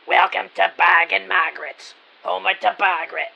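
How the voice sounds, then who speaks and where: A man voicing a gruff, brash middle-aged woman shopkeeper in an audio drama, with a raspy voice and brusque, slangy, sardonic delivery. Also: sits high, flat delivery, normal speed, muffled recording, over loud music. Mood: gruff salesmanship. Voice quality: gravelly, raspy. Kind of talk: audio drama character acting.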